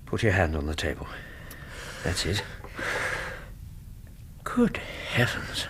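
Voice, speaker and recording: A Victorian English doctor in a period radio drama. He is courteous, professional and slightly hesitant, with a very varied pitch. The recording is clearly noisy. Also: animated, takes it slowly, a bit bright, heavily acted. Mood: calm, attentive. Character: courteous, concerned male Victorian doctor receiving a patient.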